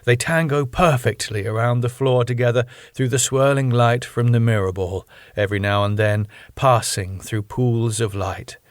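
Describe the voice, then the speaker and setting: A man's voice: medium pitch, moderate pace, hardly any pauses, dry recording. Man narrating a script, dry recording. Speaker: narrator reading his own sitcom script.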